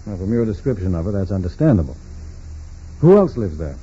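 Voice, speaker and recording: An actor playing a calm, probing FBI agent in a 1940s radio drama, questioning in a businesslike, matter-of-fact way. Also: male voice, deep voice, brisk, husky, exaggerated voice. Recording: muffled, noisy 1940s radio recording.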